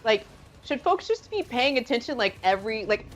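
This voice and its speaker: A woman's voice: on the high side, big pitch swings, normal speed, fairly bright tone, low-quality recording. A woman hosting a live show, gushing enthusiastically as she comments and asks her guests questions. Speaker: host.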